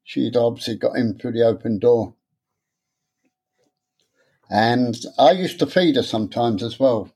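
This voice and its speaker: A man, talking candidly in an interview, halting and repeating words as he speaks. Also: mid-range voice, mellow, breathy edge. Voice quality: stammer. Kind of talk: personal interview.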